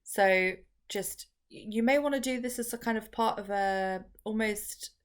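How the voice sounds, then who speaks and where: A woman teaching on camera, talking through each step in a chatty way with many restarts and ums, her voice a bit croaky. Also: medium pitch, measured pace. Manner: chatty, filler-heavy explaining with frequent restarts. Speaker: crystal workshop teacher. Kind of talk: casual how-to video.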